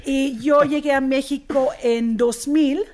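A woman in her forties who grew up in Australia talks as an interview guest in a language she learned later. She speaks earnestly, with frequent fillers and restarts, and her voice sits a little high. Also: steady tone, slow pace, a little mellow. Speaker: guest, impact investor. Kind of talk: interview conversation.

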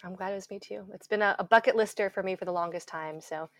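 A woman's voice: medium pitch, fast talker, no room sound. A woman speaking as a podcast guest in a plain, matter-of-fact way. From Canada. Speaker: guest correspondent. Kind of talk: podcast interview.

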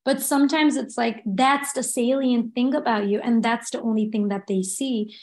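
Soft-spoken young woman. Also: on the high side, steady tone, normal speed. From Los Angeles, United States. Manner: reflective, many "like" and "you know" fillers. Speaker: interview guest. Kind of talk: casual interview conversation.

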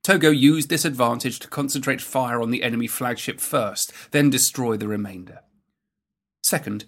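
Guy reading scripted history narration, medium pitch, measured and factual. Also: gravelly, a bit bright, husky. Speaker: narrator of a naval history programme.

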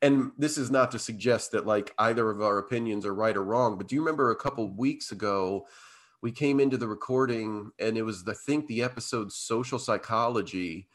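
A man co-hosting a podcast in a casual chat, slightly hoarse and blocked up, rambling with lots of fillers and restarts. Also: fairly low pitch, fairly quick. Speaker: podcast co-host.

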